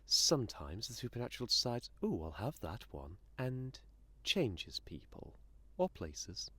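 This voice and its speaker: A man playing a menacing, condescending inhuman entity in an audio drama, speaking in a sardonic, theatrical and deliberate manner. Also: mid-range voice, sing-song, bright, forceful tone, breathy edge, no echo, exaggerated voice. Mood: sardonic lecturing.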